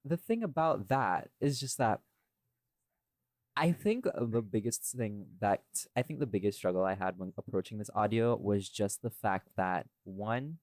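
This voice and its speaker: Low-voiced man chatting, close and dry. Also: animated, unhurried, a little mellow, no echo. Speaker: audio drama creator, podcast host. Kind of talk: casual behind-the-scenes podcast chat.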